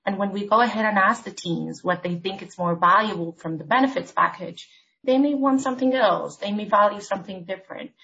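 A woman from Argentina, a consultant guest on a podcast, explaining her points in a measured, structured way. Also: mid-range voice, creaky, pushed and bright, lo-fi sound. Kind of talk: podcast interview.

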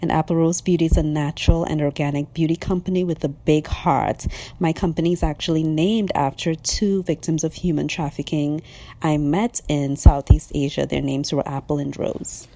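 Low-voiced woman, 30s, from Trinidad and Tobago, earnest guest. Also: steady tone, normal speed, mellow. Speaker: guest, business owner. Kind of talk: prepared interview answers.

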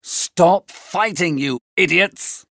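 A woman's voice: fairly low pitch, slow pace, fairly bright tone, no echo, studio quality, exaggerated voice. A woman voicing an earnest, exasperated young nobleman urging peace, in a storybook reading. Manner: urgent, exasperated. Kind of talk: storybook character voice.

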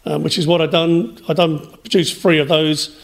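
Higher-voiced, hesitant man. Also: flat delivery, gravelly, low-quality recording. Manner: hesitant, measured phrasing. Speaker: interview guest, writer and storyteller. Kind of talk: reflective radio interview.

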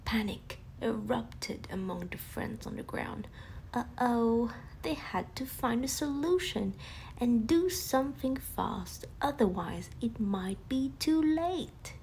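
Slow, high-voiced woman storyteller with creaky edges. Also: expressive, a little mellow, slight background noise, poor audio. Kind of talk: scripted bedtime story narration for children.

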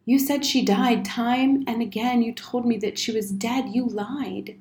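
A woman's voice: a little high, steady tone, unhurried, darkish tone, voice pushed up. A woman voicing an earnest, stubborn, grieving boy in a storybook reading. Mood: accusing. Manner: earnest, firm, plain-spoken. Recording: roomy, echoing indoor sound.

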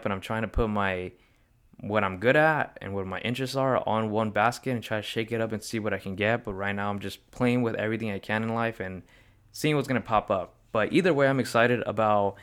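A young man in his twenties hosts his own motivational podcast, speaking alone to the listener. His voice sits low and sounds smooth and clear. He talks earnestly and rambles, often breaking off to correct himself. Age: 20s. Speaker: podcast host. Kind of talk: solo motivational podcast talk.

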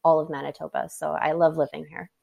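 A Canadian woman in her twenties, chatting as a guest in an interview, upbeat and quick to laugh. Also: sits low, level tone, vocal fry, dark tone. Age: 20s. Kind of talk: casual interview chat.

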